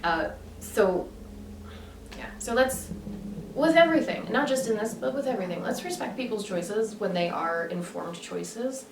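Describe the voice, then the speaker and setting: Lady vlogging her opinions, lively and emphatic, roomy echo, sitting back from the mic with noise behind her. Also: medium pitch, normal speed, creaky. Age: adult. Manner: animated, emphatic, lots of fillers. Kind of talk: casual opinion monologue. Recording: echoey room, mic at a distance, background noise.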